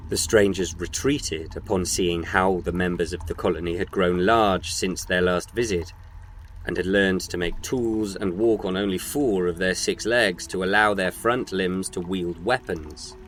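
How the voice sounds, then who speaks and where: A man narrating a scripted story as a solemn, measured storyteller. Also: sits low, fairly even intonation, fairly bright tone, slight background noise.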